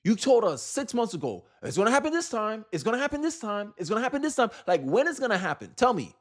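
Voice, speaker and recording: A man's voice: high voice, sing-song, fast. An American man giving casual, opinionated political commentary in an exasperated, emphatic way, firing off rhetorical questions.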